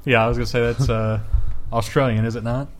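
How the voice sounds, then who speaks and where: A man with an average-pitched voice speaks as a podcast co-host. He chats casually and keeps it low-key, with a dry way of putting things. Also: flat delivery, brisk, slight background noise. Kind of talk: casual chat.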